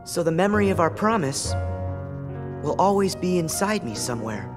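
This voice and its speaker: A man's voice: sits high, sing-song, normal speed. A young man voicing an earnest, loyal teenage boy hero in a video game, in a heartfelt way. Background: music.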